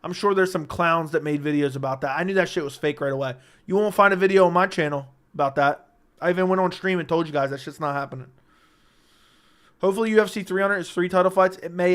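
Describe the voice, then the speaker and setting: A man hosting a casual livestream, reading chat questions aloud and answering them in a joking way, his voice slightly raspy and worn. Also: a little high, a bit bright.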